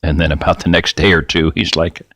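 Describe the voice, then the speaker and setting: A middle-aged man hosting a rambling, folksy screen-share talk, his voice getting raspy and dry. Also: sits low, little pitch movement, fairly quick, a bit bright, dry recording. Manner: rambling, folksy, self-interrupting. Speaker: video host.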